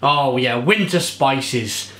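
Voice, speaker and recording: A man's voice: mid-range voice, lively intonation, slow pace, pushed and bright, reverberant. A man in his thirties chatting to camera at home in his kitchen, reviewing a beer with enthusiasm. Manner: chatty, enthusiastic, restarts and fillers. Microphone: fixed camera at table.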